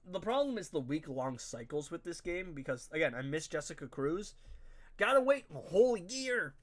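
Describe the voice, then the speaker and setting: A man's voice: on the high side, sing-song, moderate pace. A young man with a nasal, congested voice, chatting casually to viewers while he streams a game and talking straight to his chat in slang. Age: young adult. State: stuffy, congested nose. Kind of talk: casual live-stream gameplay commentary.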